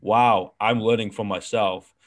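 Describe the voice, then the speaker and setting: A man's voice: lowish voice, fairly even intonation, unhurried. A young man hosting a casual interview, talking frankly and rambling with lots of fillers and the odd swear word. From outside New Zealand. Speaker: podcast host.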